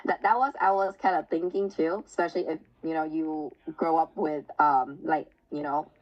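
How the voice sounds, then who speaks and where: A woman with a low, deep-set voice leads a relaxed interview. She hesitates, repeats words and fills gaps with "uh" as she goes. The recording sounds somewhat dull and muffled. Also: moderate pace. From Vietnam. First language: Vietnamese. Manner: hesitant, many restarts and fillers. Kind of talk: casual conversational interview.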